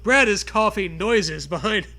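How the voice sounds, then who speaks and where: A man's voice: high voice, measured pace, gravelly, sharp tone. A man reading a comic storybook aloud, with casual banter mixed in. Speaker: reader of a comic choose-your-own-adventure book.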